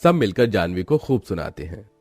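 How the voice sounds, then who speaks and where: A man's voice: low-pitched, very animated, normal speed, darkish tone, dry recording, studio quality, faint music behind. A man narrating a scripted moral story.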